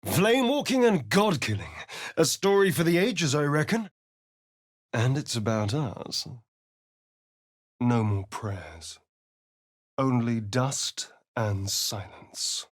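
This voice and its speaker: A man's voice: medium pitch, very animated, takes it slowly, pauses often, no room sound, clean studio sound. An actor playing a suave, sardonic male vampire spawn in a video game, with an arch, drawling, theatrical manner. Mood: wry, dramatic. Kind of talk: video game character voice lines.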